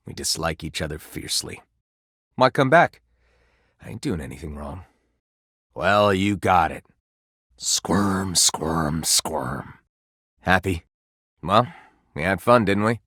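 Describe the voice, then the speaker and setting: A man voicing a video game character's gruff, plain-spoken true self, with blunt, colloquial, low-key delivery. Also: animated, slow pace, long pauses, fairly bright tone, no room sound, clean studio sound, heavily acted. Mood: bitter, resigned.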